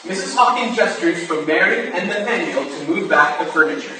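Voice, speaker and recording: A man's voice: high-pitched, lively intonation, a little fast, bright, forceful tone. Man reading stage directions, very echoey. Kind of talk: scripted narration of stage directions. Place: roomy hall at a live reading. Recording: echoey room from far off, noisy.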